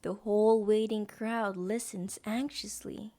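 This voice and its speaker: A woman's voice: medium pitch, fairly even intonation, a little slow, mellow. A woman narrating a scripted story for an audio drama.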